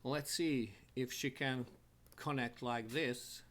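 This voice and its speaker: A man hosting a live show from his home studio, talking haltingly and restarting as he sorts out his setup. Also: mid-range voice, steady tone, fairly bright tone, a little hiss or hum. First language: Hungarian. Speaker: host of a live online show. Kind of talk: casual live-stream talk to viewers.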